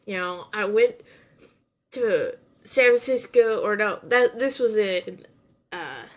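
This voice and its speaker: A woman's voice: medium pitch, lively intonation, takes it slowly. A young woman hosting a casual podcast, chatting in a rambling way with many restarts while she has a runny nose and sniffles. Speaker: podcast host reviewing anime. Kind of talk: casual chat.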